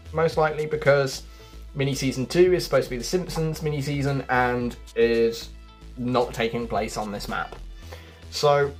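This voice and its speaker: A man giving casual, chatty gaming commentary, addressing his viewers directly as a video host. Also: mid-range voice. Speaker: video game news YouTuber. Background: background music.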